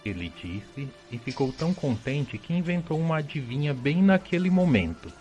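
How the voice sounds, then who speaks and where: A man's voice: a little high, very animated, moderate pace, darkish tone. A man giving scripted storybook narration for an audio drama. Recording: music behind the voice.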